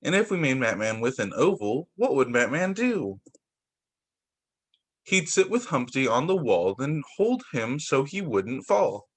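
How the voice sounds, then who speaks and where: A young man who teaches young children, reading picture books aloud in a sing-song, expressive way over a video call. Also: mid-range voice, a little slow, breathy edge. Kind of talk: read-aloud story time for young children.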